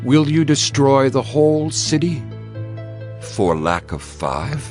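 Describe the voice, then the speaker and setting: A man's voice: medium pitch, very animated, slow, darkish tone, faint music behind. A man playing a humble old biblical patriarch, beseeching and deferential in a dramatized scripture reading. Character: humble old patriarch Abraham, reverently bargaining with God.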